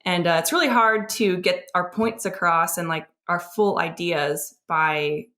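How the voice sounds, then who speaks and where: A woman's voice: fairly low pitch, steady tone, a little slow, bright, forceful tone. An American woman co-hosting a podcast in casual, conversational talk. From southern New Jersey, United States. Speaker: podcast co-host. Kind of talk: casual chat.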